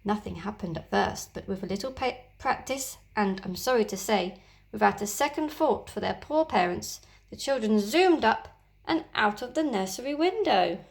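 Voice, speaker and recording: A woman teacher reading a children's story aloud in an expressive, gently animated way. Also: medium pitch, moderate pace, gravelly.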